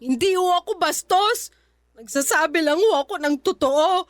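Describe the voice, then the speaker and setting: Hurt, defiant young Filipino wife, extremely high voice, emotional and outspoken, radio drama. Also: female voice, moderate pace, soft background music. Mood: hurt, resolute.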